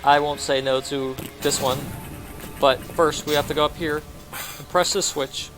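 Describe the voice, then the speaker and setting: A man's voice: on the high side, steady tone, measured pace, poor audio. A man giving a casual game walkthrough, narrating his own actions offhandedly in short phrases. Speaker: gameplay commentator. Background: game music.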